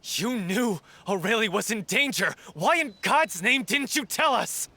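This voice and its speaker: Bitter grieving man, confrontational, sharp raspy edge, game drama. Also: high voice, animated, a little slow, full character voice. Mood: furious accusation. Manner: confrontational, accusing. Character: hot-tempered grieving male troupe actor, bitter and accusing. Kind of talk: video game voice acting.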